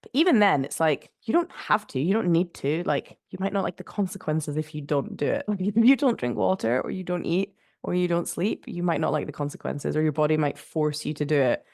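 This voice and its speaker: A low-pitched young woman talks in a loose, rambling way, full of fillers. Her voice sounds stuffy and nasal from a head cold, and the recording is close and very dry. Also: animated, brisk, gravelly, few pauses, a little mellow, no room sound. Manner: chatty and rambling, with frequent "like" fillers and laughs. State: congested, nasal from blocked sinuses. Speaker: podcast host. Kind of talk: relaxed solo podcast chat. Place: spare room at home.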